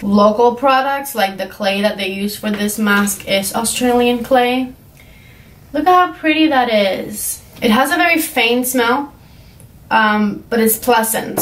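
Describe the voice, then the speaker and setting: A woman's voice: on the high side, fairly bright tone. Cuban-accented woman chatting in an echoey room. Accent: Cuban Spanish. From Cuba. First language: Spanish. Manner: chatty, rambling, many fillers like "um" and "like". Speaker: beauty vlogger. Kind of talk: casual chat to camera. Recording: echoey room, mic at a distance.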